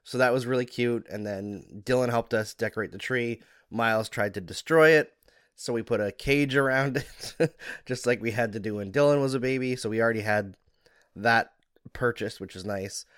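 A man from Alberta, a hockey analyst co-hosting a podcast, sick and under the weather, telling relaxed chatty stories. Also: mid-range voice, moderate pace, smooth, no room sound, clean studio sound. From Alberta, Canada. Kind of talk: casual sports podcast chat.

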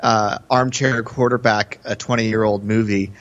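A man's voice: on the low side, fairly even intonation, unhurried, fairly bright tone. Man podcasting in slightly dull audio. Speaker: podcast co-host. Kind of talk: casual film discussion.

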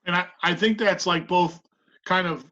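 A woman's voice: on the low side, steady tone, moderate pace. A middle-aged woman, a basketball coach from Massachusetts, talking thoughtfully as a podcast guest with frequent fillers and easy laughs. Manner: thoughtful, lots of "you know" fillers, warm laughs. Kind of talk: casual podcast conversation. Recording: dull lo-fi sound.

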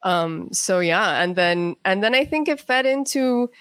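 A woman's voice: mid-range voice, expressive, a little slow, no echo. A woman speaking casually as an interview guest, a writer telling stories in a chatty way and acting out bits of quoted conversation.

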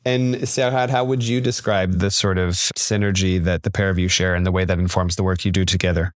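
A man hosting an interview, asking questions in a measured, polished, attentive way. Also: low-pitched, fairly even intonation, dry recording, clean studio sound. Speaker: interviewer host.

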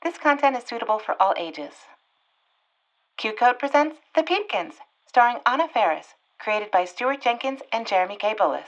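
A woman reading a scripted show announcement in a clear, measured tone. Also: fairly high pitch, lively intonation, highs cut off.

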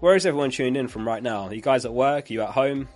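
Guy streaming live, voice going hoarse, chatty and laughing at chat comments, bit of music low behind. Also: quick pace, darkish tone. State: losing his voice. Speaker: fantasy football streamer.

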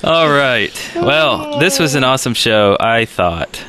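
A man's voice: medium pitch, sing-song, slow pace, crowd noise. A man co-hosting a podcast in casual chat, on a noisy recording with clicks. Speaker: podcast co-host.

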